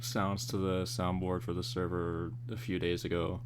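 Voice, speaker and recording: A man with a lowish voice hosts a casual readalong. He talks in a loose, unhurried way, stumbling a bit over his words. A faint hum runs under him. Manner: relaxed, hesitant, with fillers. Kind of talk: casual chat.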